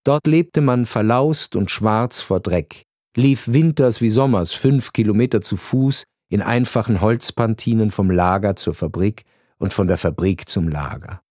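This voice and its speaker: A man reading a scripted radio narration in a grave, measured storytelling manner. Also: lowish voice, darkish tone, no echo, studio quality.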